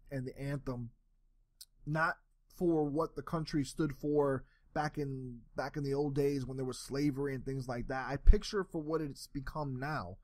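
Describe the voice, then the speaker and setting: A man's voice: medium pitch, darkish tone, no echo. A young man from New York giving a solo sports opinion talk, rambling with frequent restarts and repeated words. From New York, USA. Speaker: sports commentary host.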